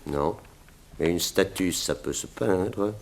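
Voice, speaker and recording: An actor playing a cool, enigmatic male sculptor in a 1960s French radio drama, speaking in terse, measured lines. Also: low voice, gravelly, exaggerated voice. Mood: coolly intent.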